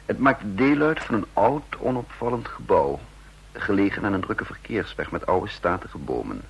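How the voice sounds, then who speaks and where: An actor playing a calculating, self-assured intelligence chief in a radio drama, speaking in measured, formal sentences. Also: male voice, lowish voice, expressive, gravelly, husky, heavily acted, poor audio. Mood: proud, reflective. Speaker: narrator and lead actor. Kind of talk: radio drama acting with first-person narration.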